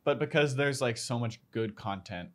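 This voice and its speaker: A man's voice: medium pitch, moderate pace. A young man co-hosting a casual movie review chat, with a dry, wry way of joking. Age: young adult. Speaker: podcast co-host reviewing movies.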